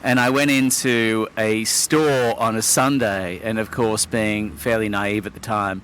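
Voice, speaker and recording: Low-voiced middle-aged guy from sydney, wordy and articulate, lots of ums, faint hiss behind. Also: fairly even intonation, measured pace, a bit bright. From Sydney, Australia. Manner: articulate, wordy, with hesitant fillers and small laughs. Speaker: wine expert guest. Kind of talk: relaxed interview talk.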